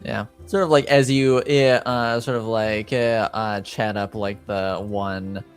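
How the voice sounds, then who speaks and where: A man improvising narration as a game master, speaking haltingly as he searches for words. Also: fairly low pitch, fairly even intonation, clean tone, faint music behind.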